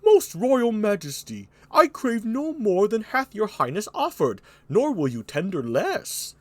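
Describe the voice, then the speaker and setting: A man's voice: huge pitch swings, a little slow, no room sound, heavily acted. A man playing a courteous, apologetic duke in a stage tragedy, speaking in a formal, stately way. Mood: courtly. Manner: high-pitched, formal, stately.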